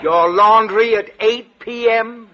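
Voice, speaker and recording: Blustering high-pitched American school principal. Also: male voice, some chatter behind. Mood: probing, pointed. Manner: pompous, blustering, quick to bark. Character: irascible, blustering middle-aged male school principal. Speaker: actor in a radio comedy. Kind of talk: 1940s-50s American radio comedy acting. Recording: dull old radio broadcast recording.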